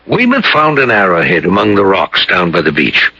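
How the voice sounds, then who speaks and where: Radio mystery colonel: gravelly, animated, earnest and ominous, on a dull old broadcast. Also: male voice, low voice, normal speed, no room sound, full character voice. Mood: grave, musing. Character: earnest, superstitious retired colonel, male, grave storyteller. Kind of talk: radio drama acting.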